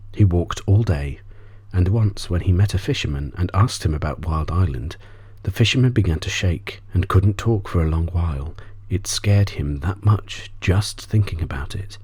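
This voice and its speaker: A man reads an audiobook as an ASMR bedtime story, soft-spoken and often trailing off into a whisper. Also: low voice, husky, no echo. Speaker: audiobook reader.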